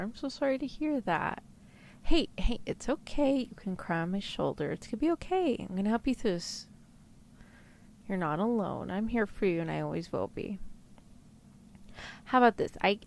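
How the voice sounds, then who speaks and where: Woman acting a tender, animated, worried girlfriend. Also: mid-range voice, gravelly, a little hiss or hum. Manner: coaxing, nurturing, reassuring. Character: tender, worried girlfriend comforting her sad partner. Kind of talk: ASMR roleplay script reading.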